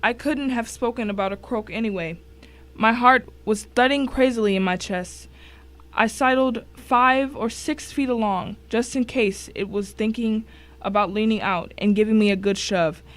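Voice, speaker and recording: A woman's voice: on the high side, moderate pace. A woman giving a dramatic first-person reading of a short story as one of its narrators.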